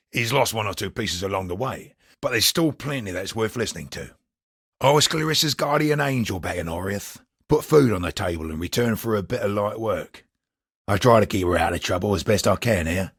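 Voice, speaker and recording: A roguish fantasy game fixer, low and husky, with sly, colloquial patter. His delivery swings widely in pitch as he talks like a wry street smuggler. The sound is close and dry. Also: fairly bright tone, no echo, heavily acted. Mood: sardonic. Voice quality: gravelly, raspy. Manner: colloquial working-class speech, sly and sardonic. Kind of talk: in-game character dialogue.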